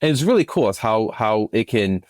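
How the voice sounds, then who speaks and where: A man's voice: lowish voice, very animated, darkish tone, dry recording, clean studio sound. A man giving enthusiastic explanations as an interview guest, often restarting his phrases as he goes. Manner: enthusiastic, halting with repeated starts. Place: remote recording over internet software.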